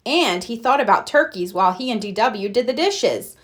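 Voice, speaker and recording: A woman reads a children's story aloud. Her voice sits a little high and rises and falls in a very animated, expressive narration. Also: moderate pace. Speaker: storybook reader.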